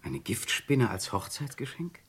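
An actor in a 1960s German radio crime drama playing a calm police detective with a dry, wryly teasing manner. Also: male voice, medium pitch, a little slow, breathy edge. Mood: calm, concerned. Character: calm, wry police detective, friend of the groom.

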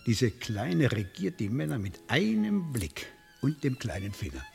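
An actor playing a cultured, chatty gentleman spa guest in a radio play, urbane and musing. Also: mid-range voice, wildly swooping pitch, unhurried, creaky, heavily acted. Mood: admiring, chatty. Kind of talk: 1970s German radio play acting. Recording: string music playing behind. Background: bowed string music.

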